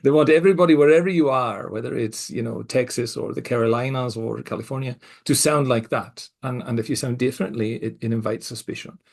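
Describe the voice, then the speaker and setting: Middle-aged male co-host, mixed Scottish-American accent, raspy gravelly voice, reflective and hesitant. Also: mid-range voice. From Scotland. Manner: reflective, speaks in halting restarts with soft laughs. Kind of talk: casual podcast conversation.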